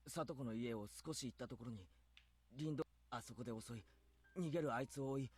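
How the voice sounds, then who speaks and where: An actor voicing an earnest teenage boy who talks in a steady, clear, resolved way. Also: mid-range voice, rapid-fire, close to an everyday voice. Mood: resolute. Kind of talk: Japanese game voice acting.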